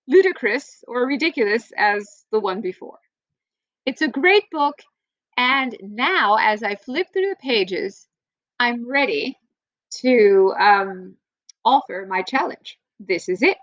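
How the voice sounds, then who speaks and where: A woman reading a children's storybook aloud like a teacher, with playful, theatrical emphasis and drawn-out phrases. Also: mid-range voice, a bit bright, no room sound, muffled recording.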